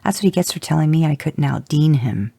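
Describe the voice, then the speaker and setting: A woman's voice: low voice, lively intonation, brisk, vocal fry, dark tone, slightly rough, dry recording, full character voice. A woman voicing a friendly, joking male actor in a fan fiction reading. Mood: fond.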